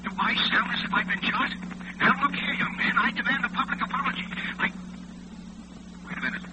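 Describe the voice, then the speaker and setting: A blustering, indignant man phoning in to complain. His voice is high, demanding and irate, in 1940s radio acting style on a noisy old recording. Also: brisk.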